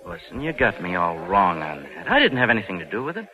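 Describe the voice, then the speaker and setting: A man's voice: low voice, big pitch swings, fast. An actor playing a glib, cynical American con man in a 1940s radio crime drama. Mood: defensive. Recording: dull vintage radio recording, organ music.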